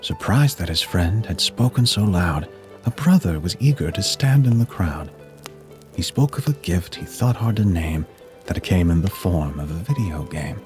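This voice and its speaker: A man storyteller reading a rhyming Christmas poem in a solemn, measured way. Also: low voice, lively intonation, darkish tone. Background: music.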